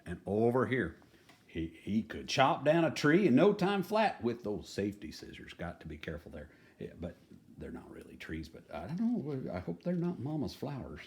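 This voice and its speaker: A man reading a children's picture book aloud in a folksy, playfully dramatic manner, adding chatty asides. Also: on the low side. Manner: folksy, playful dramatic delivery, dropping to hushed tones.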